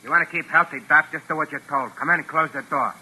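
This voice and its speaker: A man's voice: fairly high pitch, steady tone, fast talker, pushed and bright. An actor playing a menacing American gangster henchman in a 1940s radio thriller, talking slangy, bossy and threatening. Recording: noisy vintage radio broadcast recording.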